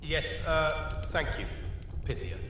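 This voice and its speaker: A man's voice: on the high side, animated, slow, bright, forceful tone, full character voice. A man playing an anxious, pleading king in an audio drama, stammering and desperate, in a very echoey hall. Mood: subdued. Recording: very echoey hall, distant, faint noise.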